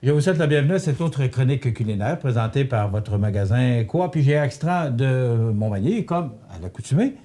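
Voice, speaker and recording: A man's voice: mid-range voice, a little fast, hardly any pauses. A man with a Québécois French accent hosting a local TV morning show, reading birthdays and interviewing a guest in a store kitchen. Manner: lively, stumbles over names and jokes about it.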